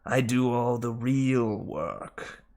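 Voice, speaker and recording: A reader voicing a sarcastic, bitter male snake with a raspy voice in a storybook reading. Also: medium pitch, drawn-out words, darkish tone. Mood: grumbling, sarcastic.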